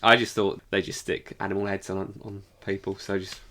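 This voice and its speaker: A man's voice: low-pitched, level tone, brisk, pushed and bright. A man co-hosting a podcast in casual chat, with a wry, offhand manner. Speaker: podcast co-host.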